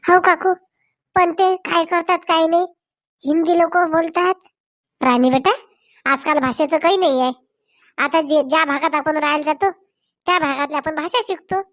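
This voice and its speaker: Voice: high voice, steady tone, normal speed, long pauses, a bit bright, no room sound, studio quality. A performer voicing earnest village elders in a comedy cartoon, coaxing and addressing people by name. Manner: coaxing, repeats names when addressing people. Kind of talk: Marathi comedy cartoon dialogue.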